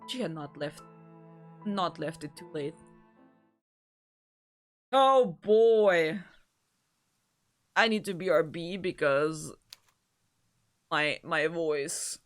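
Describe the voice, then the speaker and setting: A woman with a deep voice who streams, reading a visual novel aloud and chatting with her viewers in a giggly, rambling way. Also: very slow, pauses often. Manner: giggly and rambling in chat, even-paced when narrating. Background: faint game music.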